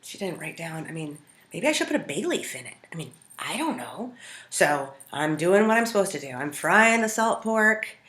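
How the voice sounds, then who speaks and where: An American woman hosts a home cooking video, talking casually and conversationally. Her voice sits low for a woman but has a bright, forward edge. The sound is roomy, with clear echo around her words. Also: big pitch swings, moderate pace. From Montana, United States. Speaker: home cook hosting a food video. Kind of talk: casual storytelling to camera.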